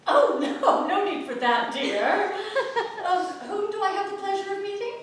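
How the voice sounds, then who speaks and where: A woman's voice: very high-pitched, lively intonation, a little slow. A woman playing a gracious, wealthy, amused lady of the house in an effusive theatrical manner on stage. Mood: delighted. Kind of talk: stage comedy at a staged play reading. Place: playhouse stage with live audience. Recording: distant mic, echoey hall, some noise.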